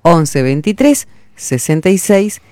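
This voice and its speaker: A radio co-host reading listener messages aloud and repeating a phone number slowly. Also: mid-range voice, sing-song, a little mellow, dry recording. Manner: clear, deliberate number reading.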